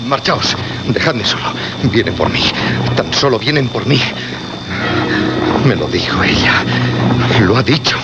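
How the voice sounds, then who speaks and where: A man's voice: mid-range voice, expressive, measured pace, pauses often, loud music behind the voice. A man acting a desperate, doomed radio broadcaster in a Spanish horror radio play, pleading dramatically with a Castilian accent. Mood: resigned, anguished. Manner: pleading, dramatic, repeating himself. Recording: muffled radio recording with background noise.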